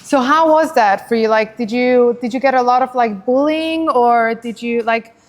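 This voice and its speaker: A woman hosting a casual, chatty interview in an animated, informal, expressive way. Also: on the high side, moderate pace, in a large hall. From Switzerland, originally from Iran. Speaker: podcast host interviewing a guest.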